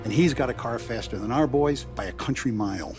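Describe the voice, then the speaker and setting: A calculating crime boss played by a male actor in a cinematic game scene. He gives orders in a measured, businesslike tone with authority, over prominent music. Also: mid-range voice, lightly acted. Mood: grave, scheming. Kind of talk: cinematic video game dialogue.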